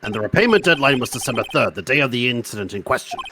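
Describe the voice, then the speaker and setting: A man's voice: medium pitch, sing-song, racing, background noise, close to an everyday voice. A man voicing a doddering, clueless old judge, formal and befuddled, in a fan dub of a video game. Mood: solemn. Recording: music playing behind the voice.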